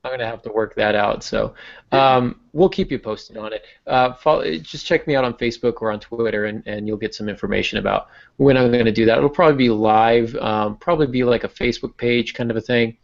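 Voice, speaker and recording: Male podcast host, creaky fry in the voice, explanatory and self-deprecating, slightly dull lo-fi sound. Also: mid-range voice, brisk. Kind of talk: casual podcast talk.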